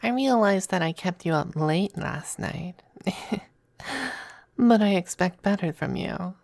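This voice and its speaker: A woman playing a possessive demon femboy in a close, intimate ASMR roleplay. The delivery is unhurried and coy, with a creaky edge and a very dry, close sound. The demon teases smugly yet affectionately, often slipping toward a breathy whisper. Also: medium pitch, darkish tone, dry recording. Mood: teasing, amused.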